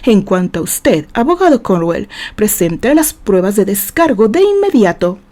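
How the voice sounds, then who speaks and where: A woman's voice: mid-range voice, big pitch swings, moderate pace, warm tone, close to an everyday voice. A woman voicing a stern male judge in an audio novel, speaking with formal, commanding authority. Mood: stern, measured.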